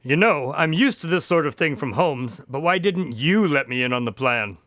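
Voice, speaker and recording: An actor playing an indignant, excitable detective's partner who tells stories in a radio drama. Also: male voice, fairly high pitch, moderate pace, no echo, clean studio sound. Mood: puzzled.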